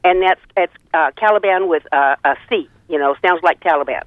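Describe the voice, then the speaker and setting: A woman's voice: lowish voice, brisk, no echo. A woman calling a radio show by telephone, chatting in a rambling, earthy, opinionated way. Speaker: caller to a radio talk show. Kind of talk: casual opinionated chat. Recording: tinny, band-limited phone line.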